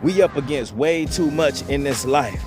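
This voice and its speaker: A man's voice: fairly high pitch, expressive, normal speed, music in the background. A man giving blunt, profane motivational advice straight to his viewers, repeating himself and calling them "bro". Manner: blunt, profane, repetitive, calls listeners "bro". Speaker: channel host giving advice.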